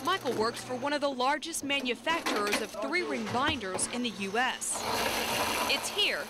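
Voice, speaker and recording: Female reporter, scripted feature narration, higher-pitched, recorded with very loud background noise. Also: lively intonation, moderate pace.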